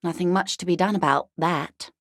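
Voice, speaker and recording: A woman voicing a poised upper-class older woman in a video game, measured and articulate, with dryly ironic, condescending politeness. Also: fairly low pitch, fairly even intonation, dry recording, clean studio sound, close to an everyday voice. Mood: dry, knowing. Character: poised, wry upper-class older woman, unrepentant ultra-liberal negotiator. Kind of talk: video game character dialogue.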